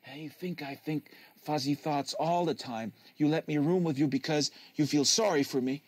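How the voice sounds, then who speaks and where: A man's voice: a little high, normal speed, breathy edge, no echo. A man reading a novel, voicing an eager, innocent, persistent younger brother. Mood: earnest, petulant. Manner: earnest, persistent.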